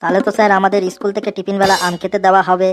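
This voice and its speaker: An impish cartoon schoolboy, voiced by a woman. He rattles along in a mid-range, monotone voice, sly and cheeky. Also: medium pitch, rapid-fire, slight background noise, lightly acted. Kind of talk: comedy cartoon dialogue.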